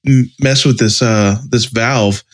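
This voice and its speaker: A man speaks in a medium-pitched voice as a podcast co-host. His talk is casual and informal, with a mildly complaining edge as he chats among friends. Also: steady tone, slow pace. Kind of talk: casual chat.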